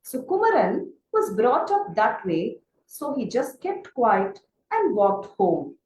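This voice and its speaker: A woman telling a children's story in an expressive, deliberate way, putting questions to her listeners. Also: fairly high pitch, a little hiss or hum. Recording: echoey room.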